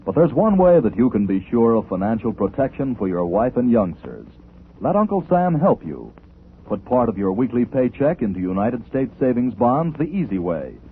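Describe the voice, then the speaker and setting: A man reading a scripted public service pitch as a 1940s radio announcer. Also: very animated, moderate pace, narrow, tinny audio. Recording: thin, noisy 1940s radio recording.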